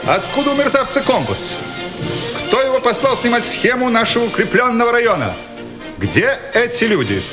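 An actor playing a cold, impatient German major who gives curt orders. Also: male voice, very high voice, sing-song, normal speed, over music. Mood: commanding. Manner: curt, commanding. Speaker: army major. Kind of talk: film drama acting.

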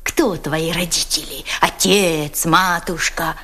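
An actress voicing a cunning German woman interrogator who coaxes an orphan boy with wheedling sweetness and then presses him with sharp questions. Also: sits low, steady tone, slow pace, full character voice. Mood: coaxing. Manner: wheedling, sugary coaxing that turns to sharp questioning. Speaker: actress in an audio drama. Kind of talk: dramatic radio play acting.

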